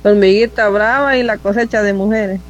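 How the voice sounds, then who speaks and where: A woman's voice: mid-range voice, fairly even intonation, moderate pace, lo-fi sound. A woman with a Salvadoran accent calling a radio show, chatting in a rambling, friendly way. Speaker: radio caller. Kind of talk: casual phone chat.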